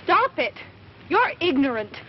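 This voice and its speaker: A woman's voice: high-pitched, big pitch swings, unhurried. A woman acting a prim, nervous young sister in an old horror film, scolding in an anxious, reproachful way. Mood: annoyed, anxious. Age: young adult. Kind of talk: 1960s low-budget horror film acting. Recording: very noisy, muffled old film soundtrack.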